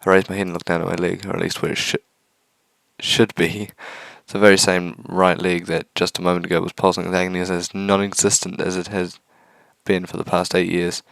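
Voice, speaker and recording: A man's voice: deep voice, flat delivery, fairly quick, vocal fry, fairly bright tone, no echo. A man hosting a gaming video, reading a visual novel aloud with casual asides and stumbling over words now and then.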